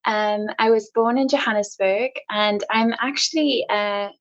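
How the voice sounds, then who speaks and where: A woman's voice: mid-range voice, unhurried. A woman in her thirties from South Africa, chatting as a podcast guest in a reflective, rambling way with many ums and restarts. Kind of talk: casual podcast chat. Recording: dull, lo-fi sound.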